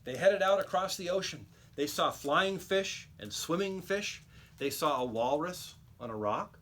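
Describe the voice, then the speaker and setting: A man reading a children's storybook aloud like a teacher, with expressive, emphatic storytelling. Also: a little high, unhurried.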